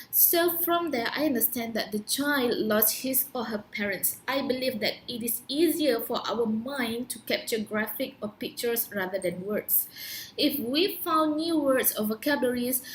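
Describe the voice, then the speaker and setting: A woman's voice: on the high side, a little hiss or hum, poor audio. A young Malaysian woman, a native Malay speaker, talking as an English teacher in an earnest, instructive way and posing rhetorical questions. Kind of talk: prepared talk to camera.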